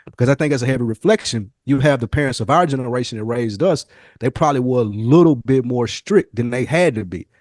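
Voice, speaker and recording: A man's voice: mid-range voice, fast, darkish tone, no echo, clean studio sound. A man co-hosting a casual podcast chat, talking in a slangy, profane, opinionated storytelling way. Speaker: podcast co-host.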